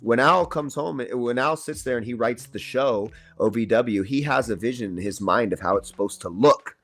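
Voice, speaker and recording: Laid-back young man saying "bro". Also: medium pitch. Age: 20s. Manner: laid-back, slangy, says "bro" a lot. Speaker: wrestler guest. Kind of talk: casual livestream chat.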